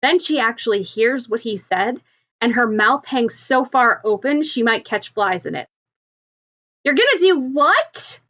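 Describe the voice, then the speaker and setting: A woman's voice: fairly high pitch, animated, normal speed, a bit bright. A woman reading scripted fiction aloud as the narrator of an audio story. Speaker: podfic narrator.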